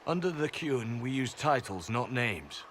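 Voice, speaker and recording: A disciplined agent in a fantasy game. He speaks in a mid-pitched voice, measured, firm and earnest. Faint noise sits behind the voice. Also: animated, a bit bright. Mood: polite. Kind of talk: video game dialogue.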